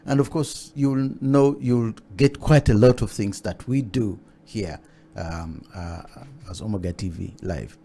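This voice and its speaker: A man hosting a studio interview, talking haltingly with many fillers and restarts. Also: lowish voice, warm tone. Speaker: talk show host. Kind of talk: hesitant studio interview chat.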